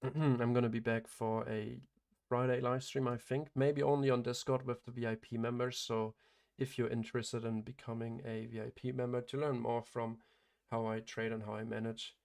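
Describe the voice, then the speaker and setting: Hoarse, gravelly man calling trades live. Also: medium pitch, a little mellow. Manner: matter-of-fact, clears his throat often. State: hoarse, losing his voice. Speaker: day trader hosting a livestream. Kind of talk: live trading commentary.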